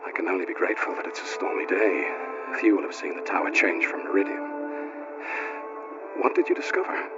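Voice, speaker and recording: A man's voice: deep voice, very animated, a little fast. An actor playing a solemn, devout man of standing in a video game, speaking in a formal storyteller's manner. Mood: grave. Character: solemn, devout male dignitary recounting strange events. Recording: dull audio with music behind.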